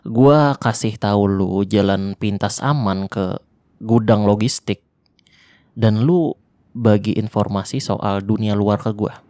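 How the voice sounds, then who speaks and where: A man voicing a lonely young male survivor of a zombie apocalypse in an ASMR roleplay, talking softly and intimately in casual Jakarta slang, close to the microphone. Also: on the low side, takes it slowly, dry recording. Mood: hushed. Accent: Jakarta colloquial Indonesian.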